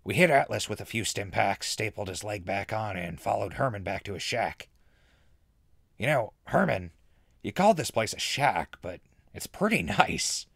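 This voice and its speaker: A man playing an easygoing ghoul radio host in a post-apocalyptic audio drama, laid-back and conversational with a gravelly voice. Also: on the low side, big pitch swings, normal speed, a bit bright, dry recording, studio quality, full character voice. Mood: relaxed. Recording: music bed under the voice.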